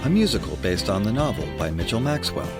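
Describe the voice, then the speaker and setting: A man's voice: lowish voice, sing-song, fast. A man reading a scripted program announcement as an announcer. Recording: loud music behind the voice.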